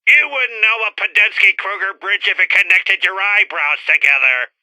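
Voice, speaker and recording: An actor playing a haughty, bickering alien scientist twin with a contemptuous manner in a retro sci-fi radio play. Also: male voice, sits high, normal speed, pushed and bright, no room sound, poor audio. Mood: smug, contemptuous.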